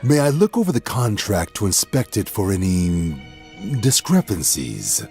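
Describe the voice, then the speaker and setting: A man's voice: low-pitched, very animated, unhurried, darkish tone, faint music behind, exaggerated voice. A man playing a courteous, careful fantasy warrior in an audio drama, formal and polite.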